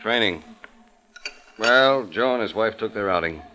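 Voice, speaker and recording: An actor playing a laconic, wry police detective with clipped, understated delivery, in old radio drama style. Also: male voice, on the low side, expressive, measured pace, pushed and bright, a little hiss or hum, poor audio. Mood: grave. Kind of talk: 1940s-50s radio drama acting.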